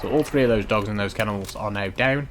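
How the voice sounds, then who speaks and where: A man giving unhurried, instructional commentary over a video game walkthrough in a casual way. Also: fairly low pitch, slight background noise. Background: video game ambience.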